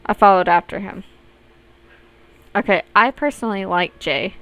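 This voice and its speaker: A woman's voice: mid-range voice, moderate pace, fairly bright tone, soft background music, rough recording. A woman reading a visual novel's narration and dialogue aloud as she plays through it. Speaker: gaming video host reading aloud.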